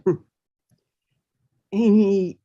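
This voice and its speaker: A woman's voice: medium pitch, fairly even intonation, drawn-out words, mellow, muffled recording. An actress playing a guarded, indignant mother in her forties, curt and suspicious, in a dramatic stage scene. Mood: guarded, probing. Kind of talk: dramatic two-person scene reading.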